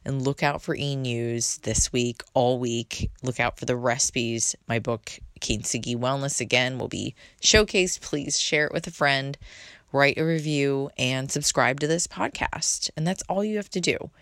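A woman's voice: a bass voice, measured pace, fairly bright tone, dry recording. A woman hosting her own podcast in a chatty, unfiltered way, with a soothing voice and casual swearing. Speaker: podcast host. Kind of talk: casual solo podcast chat.

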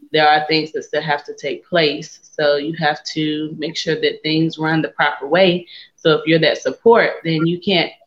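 A self-assured woman talks about herself in a firm, steady way. Her delivery stays flat and even, and the audio sounds dull and lo-fi. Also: low-pitched. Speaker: guest. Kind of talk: casual group discussion.

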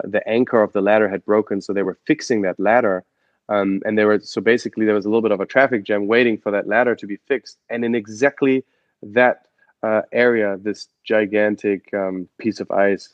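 German-accented young man, interview guest, halting storyteller, muffled dry audio. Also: fairly low pitch, no room sound. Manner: halting, many fillers and restarts. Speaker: guest, adventure photographer and expedition guide.

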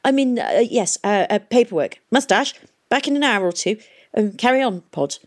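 A woman's voice: mid-range voice, lively intonation, a little fast, vocal fry, mellow, husky, pitched above the performer's own voice, heavily acted. A woman voicing a flustered, fussy old guildsman in an audiobook story, bumbling and easily irritated. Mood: embarrassed. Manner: bumbling, mumbling, hesitant, snappish.

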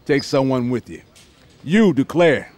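A man's voice: medium pitch, animated, unhurried, a little mellow, soft background music, lightly acted. An actor playing a brusque male police captain giving curt orders in a radio drama.

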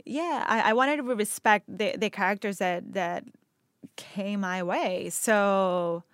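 A woman's voice: mid-range voice, takes it slowly, clean tone, dry recording, clean studio sound. A woman from Venezuela with a Venezuelan Spanish accent, a native Spanish speaker, talking animatedly in a casual interview about her acting and hosting work. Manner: animated, chatty, frequent restarts and laughter. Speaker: actor and TV host guest.

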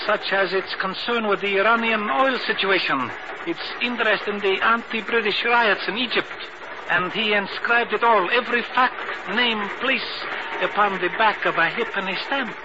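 On a dull, hissy old radio recording, a man plays a shady mercenary informant. His voice is high, and he talks in florid, precise, courtly phrases. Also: moderate pace, natural voice. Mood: smooth, mercenary. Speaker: actor in a radio drama. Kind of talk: 1950s radio acting.

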